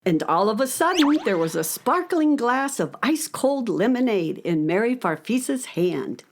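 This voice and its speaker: An actress playing a friendly narrator of a children's radio story, telling it expressively. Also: female voice, a little high, big pitch swings, moderate pace. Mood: storytelling.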